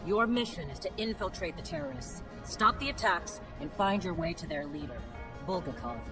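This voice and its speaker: A hard, commanding military captain played by a woman in a game cutscene. She gives clipped orders with total authority. Clear music plays behind her. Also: fairly low pitch, animated, moderate pace, close to an everyday voice. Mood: formal, commanding. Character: stern commanding female military captain, ruthless and brisk. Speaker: military commander briefing soldiers. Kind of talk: video game cutscene acting.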